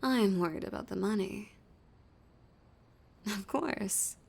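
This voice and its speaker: Woman acting a slow, husky, seductive villainess. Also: mid-range voice. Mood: teasing, confident. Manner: slow, teasing, often dropping into whispers. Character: flirty, dominant, teasing female villain holding a hostage. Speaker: audio roleplay voice actor. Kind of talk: intimate audio roleplay acting.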